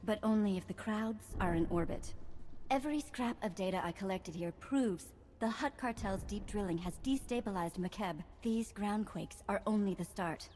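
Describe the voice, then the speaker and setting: Actress as a feisty scientist in a reverberant space. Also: female voice, medium pitch, moderate pace, darkish tone, a little hiss or hum, close to an everyday voice. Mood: grave, sardonic. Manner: blunt, articulate, sardonic. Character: feisty, sharp-tongued female scientist. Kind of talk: video game voice acting.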